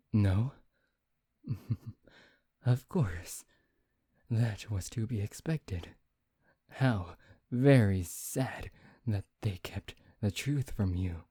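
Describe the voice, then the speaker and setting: A man playing a brooding, taunting vampire in an ASMR roleplay, speaking in a theatrical, deliberate, teasing way. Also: fairly low pitch, animated, warm tone, slightly rough, no echo, clean studio sound, full character voice. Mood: reproachful. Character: brooding, taunting male vampire bent on revenge. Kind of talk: ASMR roleplay, soft dramatic acting.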